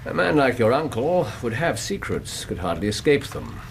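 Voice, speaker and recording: Radio casting: sharp-minded Victorian English sleuth, assured, extremely expressive intonation, clear background noise. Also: male voice, mid-range voice, moderate pace. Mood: probing. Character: incisive, probing Victorian English detective. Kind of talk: radio drama acting.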